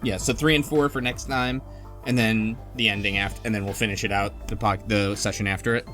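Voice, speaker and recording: A man's voice: lowish voice, steady tone, fairly quick, a bit bright, faint music behind. A man hosting a casual podcast discussion, talking in a hesitant, think-aloud way. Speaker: podcast host.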